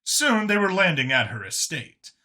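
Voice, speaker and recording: Bright-voiced man narrating a story. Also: high-pitched, sing-song, moderate pace. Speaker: audiobook narrator.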